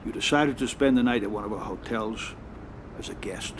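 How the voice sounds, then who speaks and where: An actor playing a level-headed middle-aged mob lawyer and advisor who speaks calmly and in a measured way in a game cutscene. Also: male voice, on the low side, slight background noise, lightly acted.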